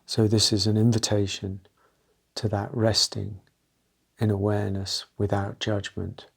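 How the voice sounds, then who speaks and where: Guy doing a guided meditation, really slow, creaky low voice, talks in little bits with pauses. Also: flat delivery. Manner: halting short phrases with pauses. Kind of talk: guided meditation, unscripted gentle talk.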